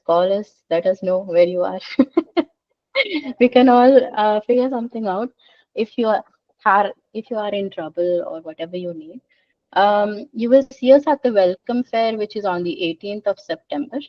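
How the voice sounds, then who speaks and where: A woman's voice: lowish voice, no room sound, recorded from a distance, highs cut off. A young woman from India presenting arrival tips in a webinar, friendly and informal with frequent fillers. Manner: friendly, informal, slightly halting. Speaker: student association organizer.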